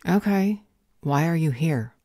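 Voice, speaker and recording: Lady playing a unhelpful gov office clerk in an audio roleplay, dark relaxed voice, officious and talks down to you. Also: low-pitched, unhurried, dry recording, studio quality. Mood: bored, dismissive. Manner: bureaucratic, unhelpful, condescending. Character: jaded, officious female government customer service clerk. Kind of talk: audio roleplay drama acting.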